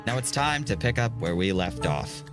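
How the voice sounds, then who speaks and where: Male anthology host, deep voice, formal theatrical narration over clear music. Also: lively intonation, normal speed. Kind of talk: scripted dramatic narration.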